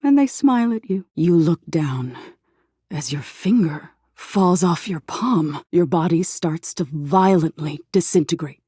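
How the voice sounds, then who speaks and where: A woman playing an eerie, softly menacing embodiment of existential dread in a video game, narrating slowly in a hushed, breathy voice that slips into whispers. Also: on the low side, lively intonation, no echo, studio quality. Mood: grim, haunting. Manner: slow hushed storytelling, lapsing into whispers.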